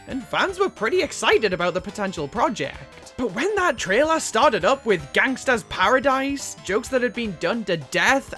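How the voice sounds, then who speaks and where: A woman delivering scripted video essay commentary as a reviewer, opinionated and emphatic with dramatic pauses. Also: fairly high pitch, a bit bright. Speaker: video reviewer, channel host.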